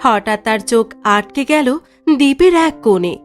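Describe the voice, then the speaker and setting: Female storyteller: high, expressive pitch, scripted fairy-tale narration, faint music bed. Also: normal speed.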